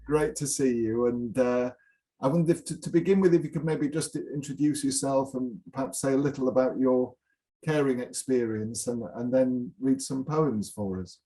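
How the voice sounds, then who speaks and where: A man with a mellow voice of medium pitch acts as host. His delivery is informal and hesitant, with halting phrases as he introduces a guest. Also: low-quality recording.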